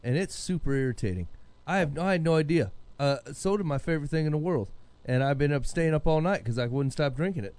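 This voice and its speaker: A man's voice: on the high side, lively intonation, quick pace, a little mellow, no room sound. A man co-hosting a podcast, chatting casually and reading aloud in a conversational way. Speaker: podcast co-host. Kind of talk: casual group banter and reading out a listener letter.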